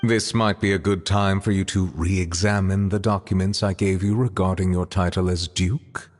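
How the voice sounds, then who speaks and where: A man voicing a composed, formal gentleman of authority in a video game, courteous, dry and measured. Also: low voice, steady tone, in a large hall. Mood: composed, advising.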